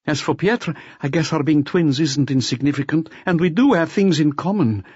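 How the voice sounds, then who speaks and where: A man's voice: a little high, normal speed, darkish tone, breathy edge, dry recording, muffled recording. A man voicing a bitter, self-loathing drunkard who confesses with agitated self-pity in an audiobook. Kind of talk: audiobook character acting.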